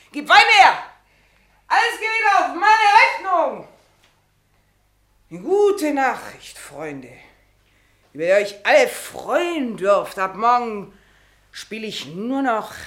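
An actress in a radio play playing an aging, hurt stage actress who is mocking and defiantly merry. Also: female voice, on the low side, fairly bright tone, heavily acted. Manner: mocking, forced gaiety with laughter. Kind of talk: theatrical German radio play acting.

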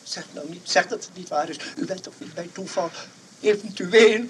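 A man's voice: high-pitched, moderate pace, slight background noise, close to an everyday voice. An actor playing a mischievous, chatty male neighbour in a Dutch radio comedy. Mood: chatty, teasing. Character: mischievous, chuckling male neighbour who schemes pranks.

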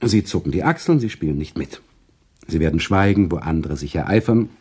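A genteel male storyteller, mellow, slightly husky, playful, theatrical phrasing, literary radio drama. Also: low-pitched, animated. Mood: suave, teasing. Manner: rhetorical, deliberate, theatrical phrasing. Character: genteel male author-storyteller, playful, teasing, eager to tell. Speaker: radio play actor, author-narrator. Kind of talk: literary radio play dialogue.

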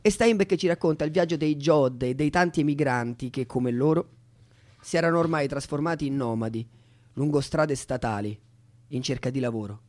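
A man's voice: medium pitch, darkish tone. Man narrating a scripted stage piece. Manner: deliberate, storytelling phrasing.

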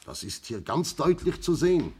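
A shrewd police inspector in a vintage radio crime play. His voice is husky and swings widely as he lectures with confidence. He leans on pauses for dramatic weight. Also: fairly high pitch, sing-song, exaggerated voice. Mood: measured, pointed. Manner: theatrical, didactic, emphatic pauses. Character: shrewd, self-assured male police inspector explaining a murder. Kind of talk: 1960s German radio crime drama acting.

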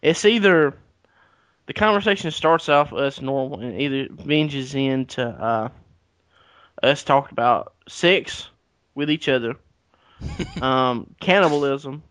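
Man, podcast co-host, deadpan dry humor, mid pitch, close dry sound. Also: slow pace, long pauses, no room sound. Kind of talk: casual banter. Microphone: podcast mic.

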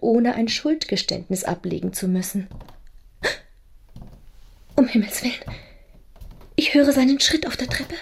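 A woman's voice: on the high side, darkish tone, husky. An actress playing an anguished wife confessing her secret, earnest and confiding, in a German radio drama. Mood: panicked.

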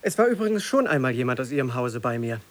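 Actor as cheeky, lively-voiced young tenant. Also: male voice, medium pitch, quick pace, close to an everyday voice. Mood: wry, teasing. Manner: blunt, nonchalant, teasing. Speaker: actor in a radio play. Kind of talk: naturalistic radio play dialogue.

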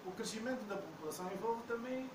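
A man, making measured, explanatory points at a public debate. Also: sits high, expressive. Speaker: debate participant. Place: debate hall indoors. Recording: distant and echoey with background noise.

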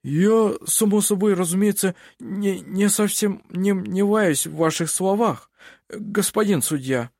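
A man's voice: a little high, sing-song, normal speed, darkish tone, breathy edge, no echo, clean studio sound, pitched above the performer's own voice. A man voicing a naive, timid male sheriff in an audiobook, fawning and stammering. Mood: nervous.

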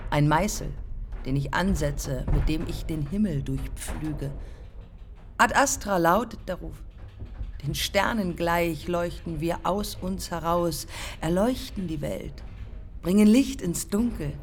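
An actress playing a proud, embittered woman aviator looking back in terse, deliberate fragments, in a German radio play. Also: fairly low pitch, slight background noise. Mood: exalted, solemn. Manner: terse, deliberate, pausing between short phrases.

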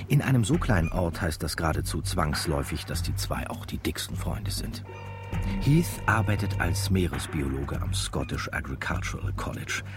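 An actor playing a wry, knowing storyteller who narrates a radio drama in an ironic, conspiratorial way. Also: male voice, deep voice. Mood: chatty, wry. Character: wry, knowing local storyteller addressing the listener. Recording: music behind the voice.

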